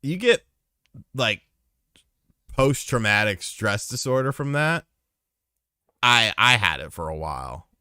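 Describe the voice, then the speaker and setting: A man's voice: medium pitch, big pitch swings, takes it slowly, pauses often, bright, forceful tone, no room sound, clean studio sound. A man co-hosting a podcast aftershow, joking and swearing in rambling casual chat. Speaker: podcast co-host.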